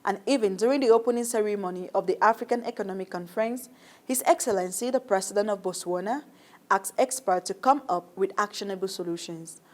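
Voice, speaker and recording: A woman's voice: mid-range voice, a little fast. Composed woman interviewer. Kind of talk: formal interview questions.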